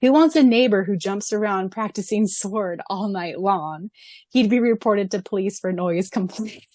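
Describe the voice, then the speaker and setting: A woman co-hosting a fan podcast, gushing excitedly and laughing often as she reads answers and wraps up the show. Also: mid-range voice, moderate pace, no room sound. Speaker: podcast co-host.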